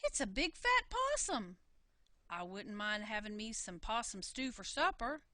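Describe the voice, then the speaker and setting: A woman voicing an excitable, panicky country brother in a storybook, with folksy exclamations. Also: fairly high pitch, measured pace, no echo, studio quality, exaggerated voice. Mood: excited.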